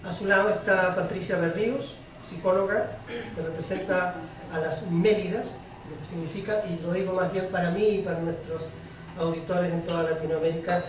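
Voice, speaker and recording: A man moderating a public panel in a semi-formal, measured way, with deliberate, halting phrasing. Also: sits high, steady tone. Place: public debate room with audience. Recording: very echoey hall, distant mic, clear noise.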